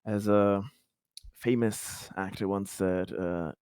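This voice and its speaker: A man's voice: lowish voice, fairly even intonation, slow pace, a little mellow, clean tone, no room sound, studio quality. A man chatting casually as a player in a tabletop roleplaying game, wordy and jokey, swearing freely.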